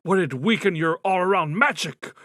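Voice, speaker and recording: A man's voice: high-pitched, animated, normal speed, bright, forceful tone, no echo, studio quality. A man playing an inquisitive, casual asker in a cartoon comic dub. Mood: musing.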